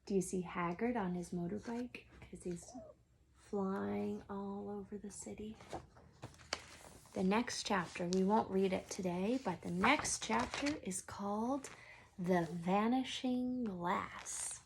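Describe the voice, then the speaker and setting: A woman teacher reading a storybook aloud to children, expressively, sometimes dropping into a hush for effect. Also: mid-range voice, slow, vocal fry, noisy background.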